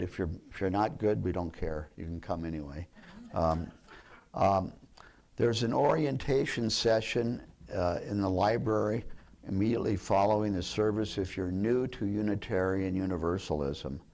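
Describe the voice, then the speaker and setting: A man's voice: on the low side, a little slow, darkish tone. An older man leading a church service, giving casual announcements with a joking manner. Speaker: service leader. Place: church sanctuary.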